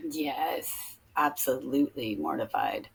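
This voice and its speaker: Low-voiced woman chatting casually. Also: wildly swooping pitch, slow, gravelly, husky, rough recording. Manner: chatty, jumps between topics. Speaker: podcast co-host; teacher. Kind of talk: casual chat.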